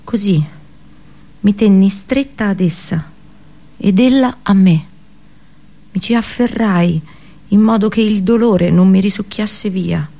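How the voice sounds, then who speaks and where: A woman performing an intense theatrical radio monologue as an anguished, unheeded princess seer, in deliberate, broken phrases, in Italian radio drama style. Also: mid-range voice, creaky, warm tone. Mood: solemn, sorrowful. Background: music.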